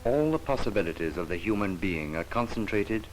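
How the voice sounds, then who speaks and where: A man narrating a scripted 1950s educational film in a solemn, measured, poetic manner. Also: lowish voice, expressive. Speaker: documentary narrator. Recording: noisy 1950s archival film soundtrack.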